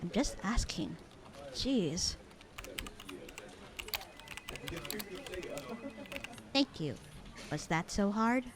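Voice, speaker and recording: A woman playing a bored, sarcastic, slightly flirty secretary in a casual ASMR audio roleplay, with a dry, deadpan, teasing delivery. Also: mid-range voice, slow pace. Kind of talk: ASMR roleplay acting, casual one-sided conversation.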